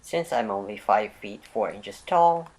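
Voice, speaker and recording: A vlogger talks through a product unboxing in a raspy voice, roughened by a cold. The pitch sits in a middle range. Faint noise runs behind the recording. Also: animated, measured pace, darkish tone. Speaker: vlog host.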